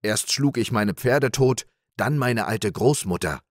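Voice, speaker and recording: A man voicing a big, hot-tempered, greedy peasant in a storybook reading, gruff and threatening. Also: sits low, expressive, normal speed, dry recording, clean studio sound. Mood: angry.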